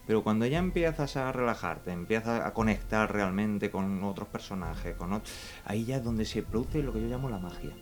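A man's voice: lowish voice, lively intonation, moderate pace. A man from Granada, a musical theatre actor, talking earnestly and at length as an interview guest. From Granada, Spain.

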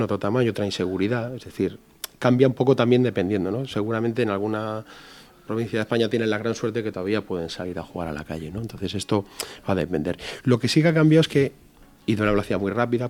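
A man's voice: fairly low pitch, darkish tone. A man in his fifties from Spain, a security executive answering interview questions in an explanatory way, repeating his key points. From Barcelona, Spain. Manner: explanatory, repeats key phrases, rhetorical tags.

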